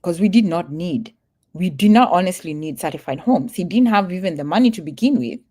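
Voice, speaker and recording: Earnest lower-voiced woman vlogger. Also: animated, brisk, mellow, slightly rough. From Kenya. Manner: earnest, emphatic, repeats warnings, laughs easily. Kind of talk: casual livestream talk to viewers.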